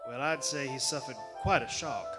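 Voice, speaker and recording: A mild, conciliatory doctor, played by a man, earnest and protective. He speaks softly and carefully in old radio-drama style, his voice ringing in a large theater. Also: medium pitch, normal speed, over loud music, lightly acted. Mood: concerned. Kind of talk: 1940s radio drama acting. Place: large theater stage, live performance. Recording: live theater stage, long hall reverb.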